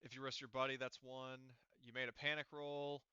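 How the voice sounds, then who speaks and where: A man acting as game master in an online tabletop session, talking haltingly as he thinks aloud through rules and dice tallies. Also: mid-range voice, flat delivery, bright, forceful tone, clean tone, dry recording, highs cut off.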